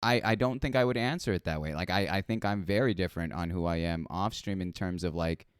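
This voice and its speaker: A man's voice: deep voice, brisk, clean tone, no echo, studio quality. An American man hosting a podcast interview, chatty and easygoing, often restarting his sentences and laughing along. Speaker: podcast host. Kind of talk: casual interview chat.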